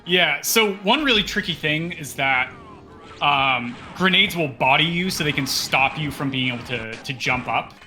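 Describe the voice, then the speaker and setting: A man's voice: fairly high pitch, animated, normal speed, pushed and bright, faint music behind. A man giving casual, explanatory live commentary on a video game speedrun.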